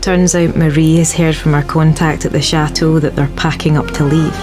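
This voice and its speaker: Actress as tough female fighter, low voice, wry, teasing, game cutscene, music behind. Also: level tone, moderate pace, natural voice. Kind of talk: video game cutscene acting.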